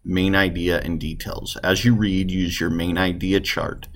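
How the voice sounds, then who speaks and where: A man with a low-pitched voice speaks as a teacher leading a class through a reading lesson. His delivery is plain and instructional, giving directions to follow along. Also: flat delivery, a little slow.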